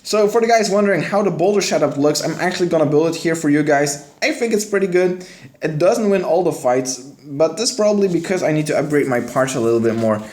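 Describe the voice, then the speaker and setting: A man's voice: on the high side, expressive, fairly quick, darkish tone, slight background noise. A young man from West Flanders with a West Flemish accent, chatting casually with viewers as he streams a mobile game. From Belgium, West Flanders. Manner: chatty, answers viewer chat while playing. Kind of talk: casual live-stream commentary. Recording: echoey room.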